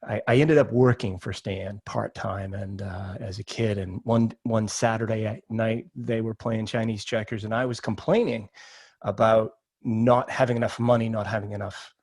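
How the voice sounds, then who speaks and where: A middle-aged man from Maine, a podcast guest telling personal stories casually and informally, often halting and repeating words. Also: lowish voice, steady tone, a little mellow, dry recording. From Maine, United States.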